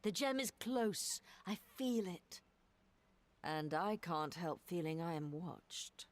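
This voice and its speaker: A woman playing a brisk, no-nonsense adventurer in a fantasy game, terse and commanding. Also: fairly low pitch, lively intonation. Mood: brisk, wary. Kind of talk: scripted fantasy game dialogue.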